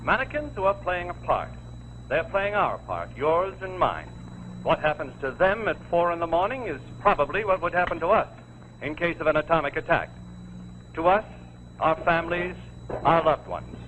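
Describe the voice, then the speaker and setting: A man narrates solemnly in a 1940s dramatic style. His portentous voice is a little high, on an old recording with faint background noise. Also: very animated, moderate pace, a bit bright. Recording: faintly noisy vintage broadcast.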